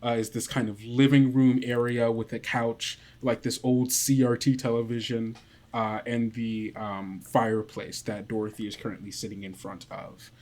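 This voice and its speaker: Man running a tabletop game, halting delivery. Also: mid-range voice, little pitch movement, a little mellow. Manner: halting, descriptive, thinking aloud. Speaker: game master. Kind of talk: improvised tabletop game narration.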